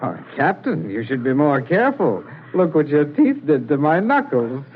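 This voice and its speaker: An actor playing a rough henchman who carries out orders with relish, in 1940s radio drama style. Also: a little high, big pitch swings, moderate pace. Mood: gruffly amused. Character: rough, obedient henchman who enjoys the violence. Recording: dull, slightly hissy old radio broadcast.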